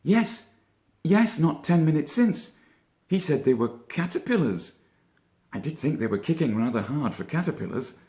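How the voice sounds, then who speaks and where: A man's voice: a little high, animated, moderate pace, dark tone, reverberant, pitched above the performer's own voice. A man playing a level-headed, slightly pompous young rabbit in a children's storybook, wordy and reflective. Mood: surprised recollection. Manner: reflective, wordy, self-important.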